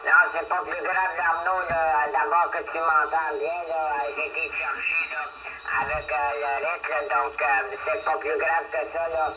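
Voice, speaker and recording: A man's voice: on the high side, flat delivery, measured pace, over a noisy crowd. A man with a Quebec French accent chatting casually and hesitantly as an amateur radio operator, heard through a radio receiver. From Canada. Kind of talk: casual radio contact chat. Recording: dull, noisy shortwave radio reception.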